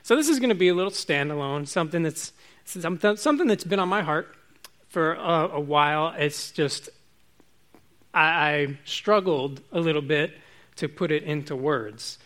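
A man preaching a relaxed, informal sermon to his congregation, chatty and self-joking. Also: a little high, normal speed.

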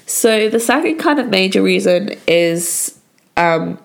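Croaky-voiced young woman with a cold. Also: mid-range voice, animated, takes it slowly. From England. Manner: chatty, many fillers like "kind of". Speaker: podcast host. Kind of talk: solo podcast chat.

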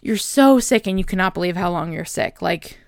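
A young woman with a smooth, clean voice chats earnestly to her viewers. She talks in a loose, reflective way, full of little fillers. The sound is close and dry, with no room around it. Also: fairly low pitch, lively intonation, moderate pace, a little mellow, dry recording. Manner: chatty, earnest, many fillers like "like" and "right?". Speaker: vlogger. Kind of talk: casual reflective talk to camera.

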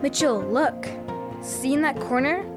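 A woman voicing Chloe, an excitable curious girl. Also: sits high, measured pace, music in the background. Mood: awed. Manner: wide-eyed, excitable. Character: curious young girl Chloe, easily startled. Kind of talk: children's audio drama.

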